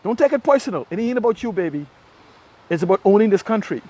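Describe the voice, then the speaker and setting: A man's voice: sits high, big pitch swings, quick pace, dark tone. A Bahamian man delivering an impassioned political monologue, speaking emphatically with pointed rhetorical questions and repetition. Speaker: political commentator.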